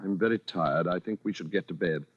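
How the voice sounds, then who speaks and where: A man's voice: sits low, fairly quick, slightly rough, narrow, tinny audio. An actor playing a furtive, evasive married Englishman with delusions of station, speaking formally and hesitantly in 1940s radio suspense style. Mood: weary, formal. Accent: British. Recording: vintage radio drama recording, faint music.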